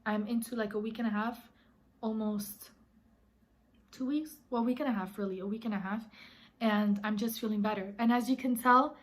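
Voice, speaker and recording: A woman vlogger with a slightly raspy, hoarse voice as she recovers from illness, talking in an earnest, encouraging way and addressing her viewers directly. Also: on the high side, steady tone, normal speed. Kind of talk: casual advice vlog.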